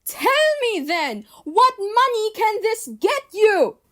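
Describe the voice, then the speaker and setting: A woman playing a strict, practical, disapproving mother in scripted animated drama dialogue. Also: very high voice, takes it slowly, heavily acted. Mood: angry, scolding. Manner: stern, admonishing.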